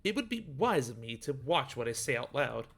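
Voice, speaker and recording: A man's voice: mid-range voice, very animated, normal speed, vocal fry, fairly bright tone, a little hiss or hum. A man playing a composed, exacting prosecutor in a video game fan dub, speaking formally and precisely.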